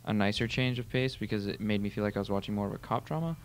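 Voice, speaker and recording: A man's voice: lowish voice, fast talker. A man hosting a casual gaming discussion and putting questions to a guest, with background noise in the recording. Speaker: host asking questions.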